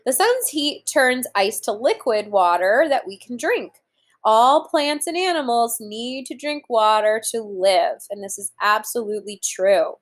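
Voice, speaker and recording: A woman in her thirties reading aloud to young children as a teacher, pausing to explain things simply and deliberately. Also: fairly high pitch, lively intonation. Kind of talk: children's read-aloud lesson with explanatory asides. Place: room at home, indoors.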